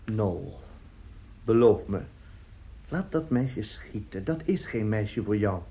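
An actor in a radio drama playing a middle-aged, self-justifying seducer and family friend, speaking haltingly and emphatically. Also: male voice, fairly low pitch, animated, warm tone. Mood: insistent. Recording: dull, muffled radio play recording.

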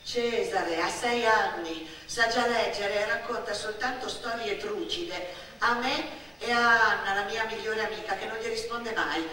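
Middle-aged woman doing theatre storytelling, forceful n expressive, big echo, faint noise. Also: medium pitch. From Italy. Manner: expressive, emphatic, dramatic pauses. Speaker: stage actress and storyteller. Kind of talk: theatrical autobiographical storytelling monologue. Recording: very echoey, distant mic, faint noise.

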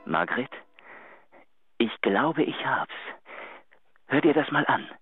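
An actor playing an elated, tender musician in love, excitable and sometimes murmuring, in a radio drama phone message. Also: male voice, medium pitch, takes it slowly. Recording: muffled lo-fi line, music behind.

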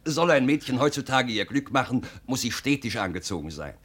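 A man acting a jovial, pushy traveling salesman in a 1950 radio drama, persuasive and full of flattery. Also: mid-range voice, fairly quick, breathy edge.

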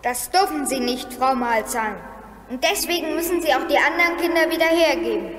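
A woman's voice: sits high, a little fast, lightly acted. A woman playing a brave, polite young boy in a children's radio play, speaking earnestly and directly. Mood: defiant. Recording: echoey hall radio play recording.

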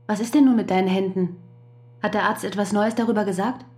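A woman's voice: mid-range voice, fairly even intonation, fast, a little mellow, lightly acted. An actress playing a worried, devoted wife who visits her husband in prison and presses him with earnest questions, in a radio drama. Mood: concerned.

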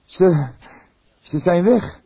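A man voicing an anxious, excitable young man in an audiobook, earnest, breathless and insistent as he reports to the police. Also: high-pitched, very slow, husky, dry recording, pitched above the performer's own voice, full character voice. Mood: agitated, breathless.

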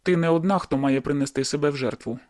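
A man's voice: on the high side, fast, a little mellow, no echo, studio quality, natural voice. A man voicing a hurt, bitter young man in an audiobook, who speaks bluntly and wearily. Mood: resolute. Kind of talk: audiobook dialogue.

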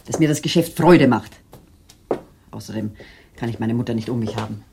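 An actress playing Clara, a jealous and bitter wife just home from hospital, in a 1980s German radio play, talking in a quick, accusing way. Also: female voice, extremely low pitch, vocal fry, mellow, slight background noise.

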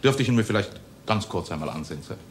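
An actor playing a polite, insistent investigator in a 1960s TV crime serial, on a noisy old soundtrack. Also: male voice, lowish voice, animated, fast talker. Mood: politely probing. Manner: deferential yet probing.

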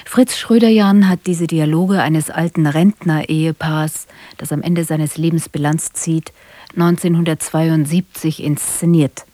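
A woman reading a formal, scripted radio announcement in a measured manner from a broadcast studio. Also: low-pitched, steady tone, dark tone, no room sound.

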